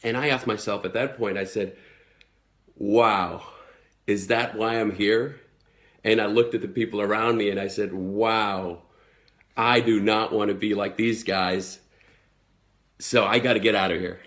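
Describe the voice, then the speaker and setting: A man's voice: on the low side, steady tone, a little slow, pauses often, a bit bright. Earnest Swedish man with an American accent. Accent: American, learned in the United States. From Sweden. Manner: earnest, motivational, with many fillers. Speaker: network marketing entrepreneur. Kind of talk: live video monologue to followers.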